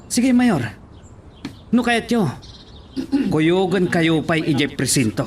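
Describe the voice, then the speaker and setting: A man's voice: high voice, animated, takes it slowly, a crowd in the background. A man playing a defiant, indignant challenger in an Ilocano audio drama.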